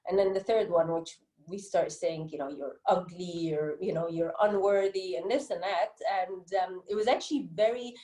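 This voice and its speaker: Mellow Egyptian-accented woman in echoey room. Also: on the low side, normal speed, few pauses. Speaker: interviewed children's book author. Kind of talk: conversational interview answers. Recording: roomy, echoey sound.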